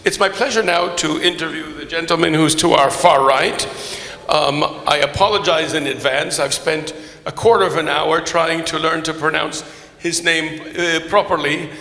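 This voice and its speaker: A man whose first language is Polish, moderating a panel in English, formal and courteous, halting with many fillers and restarts. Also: a little high, bright, forceful tone. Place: large conference hall with podium. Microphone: microphone. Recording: echoey hall with long reverb.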